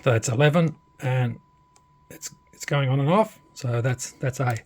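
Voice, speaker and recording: Male hobbyist presenter, husky mid-range voice, unscripted technical walkthrough, low-key and slightly hesitant. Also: moderate pace, a little mellow. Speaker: presenter demonstrating a modified radio. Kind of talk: casual unscripted technical demonstration.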